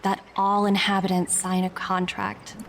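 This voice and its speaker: A woman voicing a composed corporate agent in a video game, reading a formal, earnest and persuasive letter in a measured way. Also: mid-range voice, monotone, gravelly, a little hiss or hum. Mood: measured, solemn. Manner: measured, formal, deliberate phrasing. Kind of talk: scripted game letter monologue. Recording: background music under the voice.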